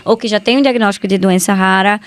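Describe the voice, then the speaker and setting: A woman's voice: mid-range voice, steady tone, fast, clear, resonant tone. A Brazilian woman, a doctor appearing as a podcast guest, explaining things casually and often restarting her phrases.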